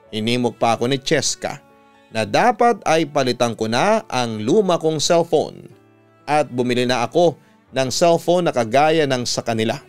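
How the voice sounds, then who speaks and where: A man with a Filipino accent narrating a personal story as a radio storyteller, in a smooth, resonant voice. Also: mid-range voice. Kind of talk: scripted dramatic narration of a listener's letter.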